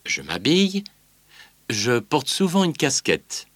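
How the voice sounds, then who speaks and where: A man's voice: mid-range voice, big pitch swings, takes it slowly, fairly bright tone, dry recording, exaggerated voice. An actor voicing a male singer on a promo tour, reading a scripted interview in an easygoing way. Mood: candid.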